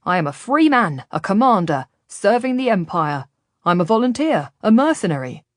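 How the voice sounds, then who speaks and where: A woman's voice: medium pitch, very animated, normal speed, no room sound, studio quality, close to an everyday voice. A woman voicing a cheerful, boastful male privateer captain who sells his sword, in an audiobook story. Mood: proud. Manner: cheerful, brash, unashamed. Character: cheerful, boastful English privateer mercenary, a man.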